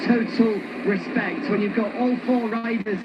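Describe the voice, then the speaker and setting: A man's voice: sits high, fairly even intonation, unhurried. A man working as a pit-lane reporter, doing live interviews on the starting grid. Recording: dull audio in very loud trackside noise.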